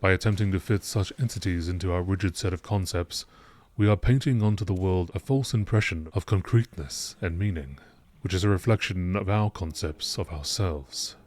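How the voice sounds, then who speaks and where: A man reading scripted lore texts in a nature documentary narration style, with measured, deliberate phrasing. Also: low-pitched, a little mellow.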